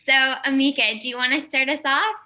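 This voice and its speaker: A woman's voice: sits high, brisk, pushed and bright. Upbeat young woman hosting a live stream. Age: young adult. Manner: upbeat, welcoming, speaking to viewers. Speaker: host, founder of youth nonprofit.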